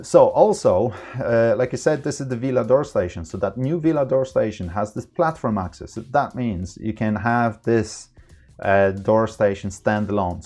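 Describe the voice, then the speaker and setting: Deep-voiced man giving a software tutorial. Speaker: product trainer, tutorial presenter. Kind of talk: informal unscripted software tutorial.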